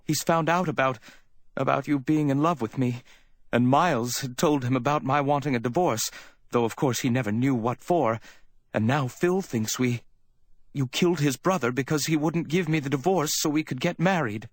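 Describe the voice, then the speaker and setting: A man's voice: mid-range voice, normal speed, no room sound, voice pushed up, lightly acted. A man acts out a distraught woman in a hardboiled audiobook story. The voice is lifted well above his own, choked and whimpering. She pleads and wails with jealous indignation, recorded close and dry. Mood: distressed. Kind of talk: dramatic audiobook character reading.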